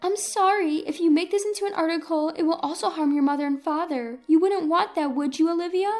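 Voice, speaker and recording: 아주 높은 목소리로 애원하는 뻔뻔한 엄마를 연기하는 여성. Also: female voice, very high-pitched, brisk, a little mellow, faint music behind, voice pushed up. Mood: tearful pleading. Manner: pleading, manipulative. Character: shameless, pleading middle-aged mother.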